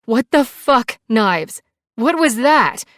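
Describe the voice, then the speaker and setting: A woman's voice: a little high, sing-song, slow pace, fairly bright tone, dry recording, studio quality, pitched above the performer's own voice, full character voice. Woman acting distraught, defiant woman, raised voice. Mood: indignant. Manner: pleading and protesting, raised voice. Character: defiant, distraught adult young woman, emotional.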